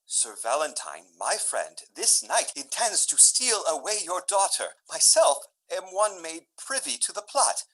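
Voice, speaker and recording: A man playing a scheming young gentleman in a Shakespearean radio drama, theatrical and deferential. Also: on the high side, heavily acted, rough recording. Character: scheming young gentleman feigning reluctant loyalty.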